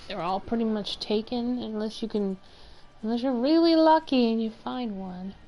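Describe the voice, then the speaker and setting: A woman's voice: a little high, moderate pace, highs cut off. A woman chatting casually as she streams a video game. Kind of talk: casual chat while playing a game. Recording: faint game music behind. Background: game music.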